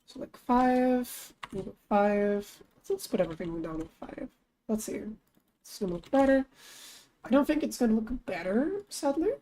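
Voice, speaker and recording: A young woman talks her way through her work on a live stream, casual and chatty, thinking aloud. Her voice sits fairly high but sounds mellow and a little lower than usual today. Now and then she bursts into high, excited greetings. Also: normal speed, low-quality recording. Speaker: streamer and artist. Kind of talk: casual live-stream chat while working.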